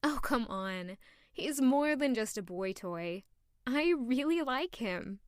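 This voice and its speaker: An actress playing a kind young maid in an audio drama, talking in an earnest, caring way. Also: female voice, a little high, animated, slow, clear, resonant tone, no echo, clean studio sound. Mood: gentle. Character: young woman maid, kind and warm-hearted.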